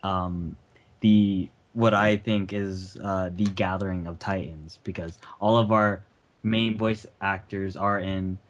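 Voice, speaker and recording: Man, low pitch, hesitant, casual chat, dull lo-fi recording. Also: fairly even intonation, slow. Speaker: amateur voice actor.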